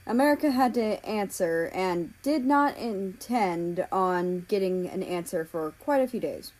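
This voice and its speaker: A woman's voice: medium pitch, lively intonation, a little slow, a little mellow, low-quality recording. A woman narrating fan fiction in an audiobook style, acting out the dialogue between passages.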